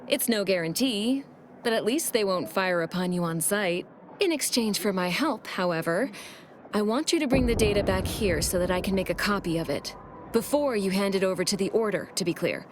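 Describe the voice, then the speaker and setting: A woman playing a shrewd, composed dealmaker in a video game, speaking in a measured, formal, businesslike way. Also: mid-range voice, slight background noise, close to an everyday voice. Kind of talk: scripted video game dialogue.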